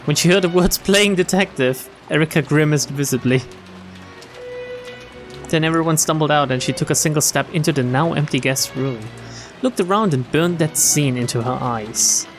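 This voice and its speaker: Guy doing a let's play, highish voice that swings around, reads the story then reacts. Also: moderate pace, faint music behind. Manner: expressive reactions, casual swearing. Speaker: game streamer. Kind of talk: let's-play reading of a visual novel with casual commentary.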